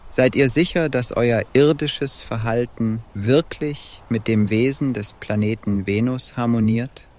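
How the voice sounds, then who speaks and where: A man's voice: medium pitch, unhurried, a little mellow, lightly acted. A man playing a self-assured female Venus goddess and temple hostess in an audio drama, speaking formally and with composure. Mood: reproachful.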